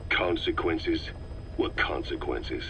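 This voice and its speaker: A man's voice: very deep voice, slow, a bit bright, full character voice. A man playing a cold, insistent military interrogator in a video game, speaking in a blunt, accusatory way. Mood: challenging. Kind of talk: scripted video game dialogue over radio. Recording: band-limited radio tape, clear background noise.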